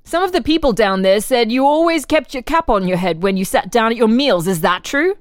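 A woman's voice: a little high, lively intonation, a little fast, no echo, studio quality, lightly acted. A woman playing an official interrogator who puts formal, leading questions in a dramatic reading of a transcript. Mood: insistent, formal. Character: probing, insistent official interrogator questioning a murder suspect.

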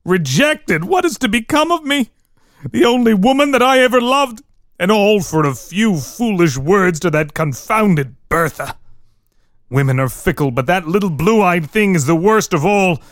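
An actor playing a passionate, scheming young village rogue in an old-fashioned theatrical dramatic reading. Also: male voice, high-pitched, lively intonation, a little slow, a bit bright, dry recording, studio quality. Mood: distraught. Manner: theatrical, pleading and cursing in old-fashioned speech.

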